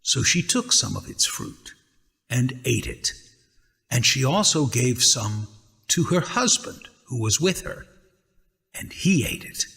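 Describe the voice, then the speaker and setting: Slow, deliberate man narrating scripture. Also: medium pitch, animated, creaky, sharp tone, big-room sound. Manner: measured, deliberate. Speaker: scripture reader.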